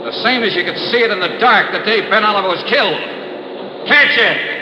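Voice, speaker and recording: An actor playing a hard-boiled, wry insurance investigator in 1950s radio drama style, with a clipped, sardonic delivery. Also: male voice, high voice, a little fast, highs cut off, lightly acted. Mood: taunting, pressing. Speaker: star of a radio detective drama. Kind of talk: 1950s radio detective acting and narration. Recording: roomy, noisy old radio recording.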